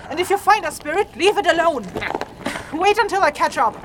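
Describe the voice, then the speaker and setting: Very high-voiced, tough trek leader. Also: female voice, animated, background noise. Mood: impatient. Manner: brisk, bossy, dry. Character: tough, practical, impatient woman leading a fantasy trek. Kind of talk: immersive fantasy audio drama acting.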